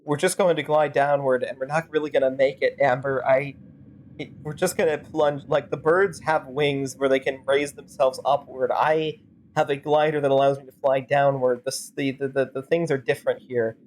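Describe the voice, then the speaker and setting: A woman's voice: a bass voice, steady tone, moderate pace, a little mellow, a little hiss or hum. A woman streamer improvising rambling, sarcastic commentary and role-play while playing a game. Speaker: streamer fan-dubbing a game.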